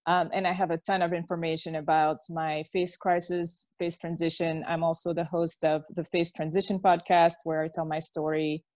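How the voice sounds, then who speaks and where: A woman's voice: lowish voice, flat delivery, moderate pace, few pauses, darkish tone, no room sound. A woman from Belgium speaking informally as an interview guest, explaining things in a conversational way. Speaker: interview guest, podcast host. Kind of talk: informal personal introduction in an online interview.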